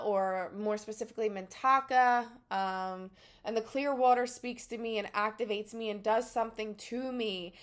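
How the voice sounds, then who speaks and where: Woman, 30s, Philadelphia accent, mid-pitched, loose conversational spiritual talk full of ums. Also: clean tone. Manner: rambling, conversational, many fillers. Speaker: spiritual channel. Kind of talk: casual spiritual talk to camera.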